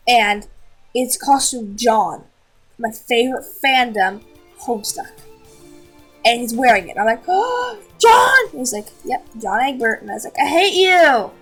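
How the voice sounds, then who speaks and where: A woman with a high, somewhat husky voice tells casual stories as a show host. She is excitable, repeats herself for emphasis and acts out what people said. Faint music plays behind her. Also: poor audio. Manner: excitable, repetitive storytelling with acted-out quotes. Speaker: host of a story-time show. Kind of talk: casual storytelling chat.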